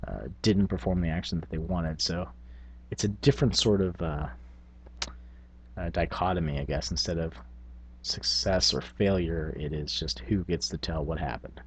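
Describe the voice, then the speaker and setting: A man's voice: low voice, moderate pace, a little hiss or hum. A man hosting a tabletop gaming vlog, talking casually in a rambling, self-effacing, unscripted way. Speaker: tabletop gaming video host.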